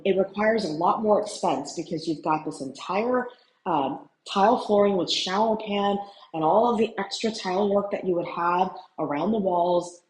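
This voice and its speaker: A woman's voice: lowish voice, low-quality recording. Enthusiastic woman giving a tour. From Houston, USA. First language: Cantonese. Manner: enthusiastic, admiring, mildly rambling with fillers. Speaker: real estate broker and vlog host. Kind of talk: hotel room tour vlog. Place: hotel suite, indoors.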